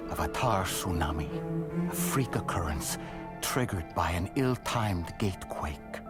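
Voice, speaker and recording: A courtly, erudite man living as a doll in a video game. His voice is on the low side, with formal, measured, wry phrasing, and music plays clearly behind it. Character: erudite, courtly widower, a soul inhabiting a doll. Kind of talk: video game cutscene acting.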